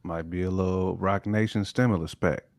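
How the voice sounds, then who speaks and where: This is a man with a very low-pitched voice. He talks casually as a panelist in a relaxed-format hip hop discussion. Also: normal speed, creaky, no echo, studio quality. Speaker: talk show panelist.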